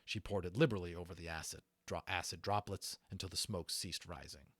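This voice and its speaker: A man's voice: low-pitched, steady tone, a little fast, fairly bright tone, husky, no room sound. A man reading a novel aloud as an amateur audiobook narrator, telling the story. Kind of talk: scripted story narration with informal asides.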